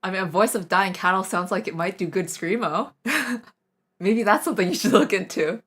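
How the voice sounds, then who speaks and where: A woman's voice: medium pitch, moderate pace, fairly bright tone, husky. A young woman streaming a casual recorder lesson, rambling and self-deprecating, with frequent laughs. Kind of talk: casual live-stream chat.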